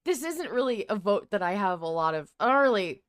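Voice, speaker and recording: A woman's voice: medium pitch, big pitch swings, brisk, no room sound. A woman podcast host talking in casual, frank and playful conversation.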